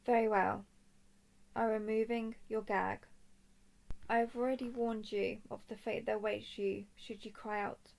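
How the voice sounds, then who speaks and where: A woman playing a young royal dragon in a roleplay audio drama, speaking formally, softly and deliberately as a composed, curious captor. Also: mid-range voice, flat delivery, creaky, low-quality recording. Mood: formal, probing. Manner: formal, deliberate, soft-spoken.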